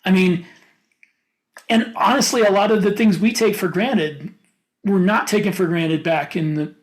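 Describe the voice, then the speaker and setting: A man's voice: sits high, moderate pace. An American man co-hosting a podcast, talking casually and giving opinionated, rambling explanations. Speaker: podcast co-host. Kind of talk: casual panel discussion.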